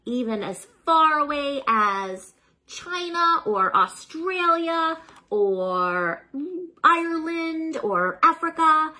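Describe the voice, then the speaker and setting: Woman reading a picture book at bedtime, kinda husky voice, takes her time, expressive for little kids. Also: on the high side, low-quality recording. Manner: unhurried, expressive storytelling addressed to a child listener. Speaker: children's storyteller reading picture books aloud. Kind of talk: bedtime story read-aloud.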